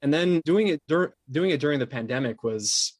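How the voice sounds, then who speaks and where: A man's voice: fairly high pitch, expressive, moderate pace, no echo. Man in a dry, close-sounding interview. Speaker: interview guest, fiction podcast producer. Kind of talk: conversational interview talk.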